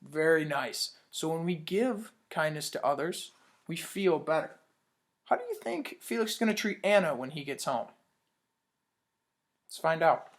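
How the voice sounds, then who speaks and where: A man's voice: on the high side, expressive, unhurried, long pauses. A man teaching as he reads a picture book aloud to children, stopping to ask questions and praise their answers. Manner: stops to quiz listeners and praise their answers. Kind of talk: read-aloud storytime for children.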